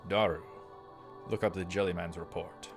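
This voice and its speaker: Grave young male lead a man acts him, low pitch, emphatic and protective, visual novel drama. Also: fairly even intonation, normal speed, over music. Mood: commanding.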